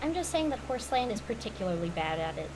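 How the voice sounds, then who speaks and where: A woman's voice: medium pitch, very animated, fast. A woman delivering scripted critical commentary as a video essayist, articulate, analytical and opinionated. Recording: clearly noisy audio with hum.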